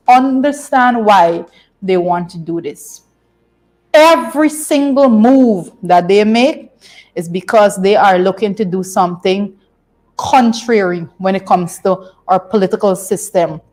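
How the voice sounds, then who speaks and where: A woman's voice: mid-range voice, darkish tone. Woman cohost, emphatic and laughing a lot, medium voice. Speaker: co-host. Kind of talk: casual current-affairs chat.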